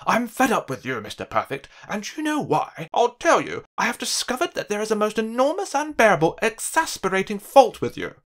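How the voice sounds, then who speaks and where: A narrator voicing a rude, irritable man in a children's storybook, blustering loudly and indignantly. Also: mid-range voice, sing-song, fairly quick, few pauses, pitched above the performer's own voice, close to an everyday voice. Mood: exasperated outburst. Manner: roaring, indignant, emphatic.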